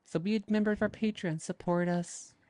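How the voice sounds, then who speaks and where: A woman's voice: medium pitch, fairly even intonation, fairly quick, mellow, no echo. A young woman co-hosting a casual podcast, teasing her friends and laughing often. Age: young adult. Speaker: podcast co-host. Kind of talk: casual chat.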